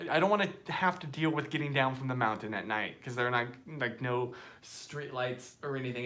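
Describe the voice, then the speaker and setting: A man's voice: a little high, fairly bright tone. A young American man chatting casually and rambling on a live stream from his phone, sitting outdoors at night. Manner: rambling, chatty, reads out viewer comments.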